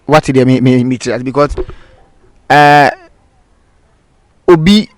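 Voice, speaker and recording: A man with a Ghanaian accent and Twi as his native language, hosting a casual interview with halting, hesitant phrasing. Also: mid-range voice, dry recording. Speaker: interviewer, host.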